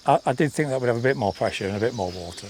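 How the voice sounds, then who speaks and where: A man's voice: medium pitch, lively intonation, racing, a little mellow. Joking man chatting over outdoor noise. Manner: joking, teasing banter. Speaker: vlog host. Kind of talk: casual outdoor vlog chat. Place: outdoors on a rural island. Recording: clear outdoor background noise.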